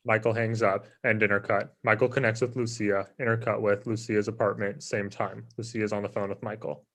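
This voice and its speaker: A man's voice: fairly low pitch, little pitch movement, fairly quick, a bit bright. A man narrating screenplay stage directions in a plain, scripted reading style.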